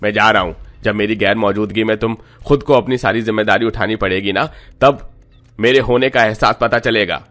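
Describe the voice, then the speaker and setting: Fed-up husband, forceful low voice man acting. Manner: indignant, scolding. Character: fed-up, indignant rich husband and son. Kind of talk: cartoon moral-story dialogue.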